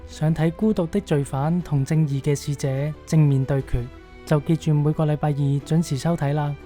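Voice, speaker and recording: A very low-voiced woman with a Hong Kong Cantonese accent reads scripted end credits as an announcer. Her tone is dark and mellow, with music softly underneath. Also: steady tone, moderate pace. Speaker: announcer reading closing credits.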